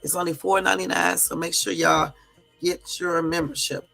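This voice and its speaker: A hoarse woman from the US West Coast hosting a live stream, chatting casually with viewers and sprinkling in lots of fillers. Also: lowish voice, fairly even intonation, normal speed, pushed and bright, soft background music, low-quality recording. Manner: chatty, addresses viewers as y'all, many fillers. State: hoarse from a cold. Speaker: livestream host.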